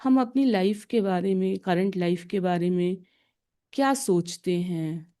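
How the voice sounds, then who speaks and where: Mellow woman guiding meditation. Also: on the low side. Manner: calm, deliberate, with pauses between short phrases. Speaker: guided meditation teacher. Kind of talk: guided meditation, slow instructions in short phrases.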